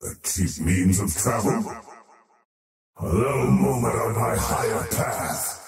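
A man's voice: on the low side, takes it slowly. A man voicing a faceless alien time-lord for a video game, cold, haughty and menacing, speaking in pompous, clipped pronouncements with an otherworldly echoing effect. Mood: cold, grandiose. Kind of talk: video game character voice lines. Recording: heavily echoing, distant voice over music.

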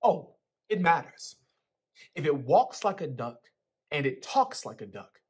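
Young man playing a stern, exasperated, husky father. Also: on the high side, sing-song, unhurried, exaggerated voice. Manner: forceful, rising into loud pleading. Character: stern, exasperated father interrogating his teenage son.

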